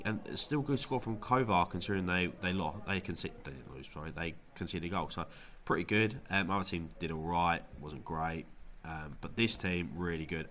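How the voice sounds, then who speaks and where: Low-voiced man with a nasal cold. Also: bright, forceful tone, slight background noise. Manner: rambling, lots of ums and restarts. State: has a cold, sounds nasal. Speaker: fantasy football video creator. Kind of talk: casual gameweek review vlog.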